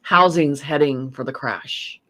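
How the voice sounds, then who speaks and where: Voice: mid-range voice, unhurried, bright, forceful tone. A guest speaking earnestly in a casual chat, rambling with many fillers and restarts.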